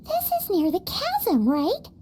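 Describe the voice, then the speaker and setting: An actress in a video game voicing a tiny childlike fairy companion, excitable, timid and chatty, with a cute squeaky voice. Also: female voice, takes it slowly, background noise, exaggerated voice. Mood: anxious, cheeky.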